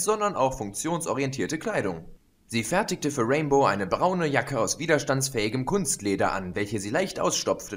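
Casting: man as steady audiobook narrator, medium pitch, even delivery. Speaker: audiobook reader. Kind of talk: scripted narration.

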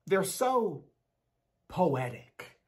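A man's voice: high voice, big pitch swings, extremely slow, darkish tone, slightly rough, heavily acted. A man in a dramatic book reading, playing an aloof, bookish genius teenage boy with a precise, pedantic delivery. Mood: theatrical disdain. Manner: pedantic, theatrical. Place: small bare room at home. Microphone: video call.

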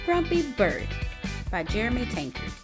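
A woman reading a children's picture book aloud in an expressive, deliberate, dramatic storytelling manner. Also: mid-range voice, music in the background. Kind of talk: expressive read-aloud narration.

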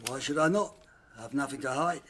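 An actor with an English accent playing a proud, irascible, ailing older landlord in radio drama, brusque and declamatory. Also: male voice, mid-range voice, fairly quick, fairly bright tone, lightly acted. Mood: defiant, brusque. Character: proud, irascible ailing father, self-righteous slum landlord. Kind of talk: British radio drama acting.

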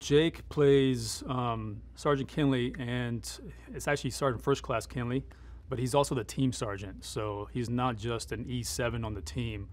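A middle-aged man speaking as a military advisor in an interview, explaining things in a conversational, measured, matter-of-fact way. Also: mid-range voice, steady tone. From United States, born in Afghanistan. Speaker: military advisor and former Green Beret.

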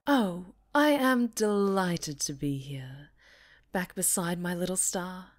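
A possessive winged supervillainess , acted by a woman, from an ASMR audio drama. She speaks slowly and on the low side, in silky, breathy threats full of obsessive love. The sound is roomy and set back from the mic. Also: distant mic. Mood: gloating, adoring. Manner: slow, silky threats with breathy half-whispers. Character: menacing, lovesick winged supervillainess, possessive and theatrical. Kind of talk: dramatic ASMR roleplay acting.

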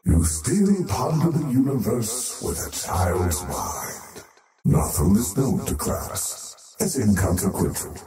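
Casting: faceless cosmic usurper, game villain; menacing, slow, wildly swooping delivery. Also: male voice, lowish voice, big pitch swings. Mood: cold contempt. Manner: haughty, menacing, slow grandiose declamation. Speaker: video game character voice. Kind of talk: theatrical game villain voice lines. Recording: heavily echoing, distant-sounding, over music. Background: music.

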